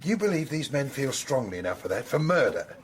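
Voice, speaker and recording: An actor playing a genial, inquisitive gentleman in a radio drama, with a British accent and polite conversational manner. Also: medium pitch, very animated, a little fast, a little hiss or hum, close to an everyday voice. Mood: inquisitive, wry. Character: genial, inquisitive English gentleman helping investigate a death. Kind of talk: naturalistic radio drama acting.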